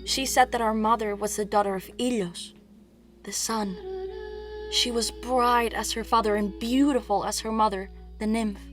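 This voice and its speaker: An actress playing a wistful, proud young princess, telling her story in measured, poetic theatre monologue. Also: on the high side, steady tone, natural voice. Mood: solemn storytelling. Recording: music under the voice.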